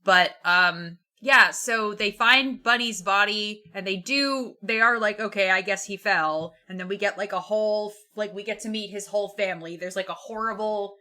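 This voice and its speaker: A woman's voice: mid-range voice, moderate pace, hardly any pauses, bright, forceful tone. A woman hosting a podcast, talking casually and informally about books. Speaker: podcast host. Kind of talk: casual book discussion.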